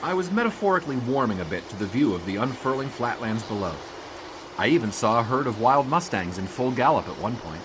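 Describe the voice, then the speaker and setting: An actor playing a dry, wordy American newspaper sportswriter of the 1920s, narrating and talking in an audio drama. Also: male voice, medium pitch, sing-song, a little fast, background noise, natural voice. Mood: wry, musing. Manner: dry, wry, formal wordy phrasing. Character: wry, wordy 1920s newspaper sportswriter, queasy reluctant flyer. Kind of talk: first-person narration and dialogue in period audio drama.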